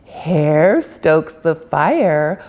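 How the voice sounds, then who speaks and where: A woman reading a rhyming children's picture book aloud in a sing-song, drawn-out way. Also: deep voice, sing-song, darkish tone. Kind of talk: expressive read-aloud storytelling.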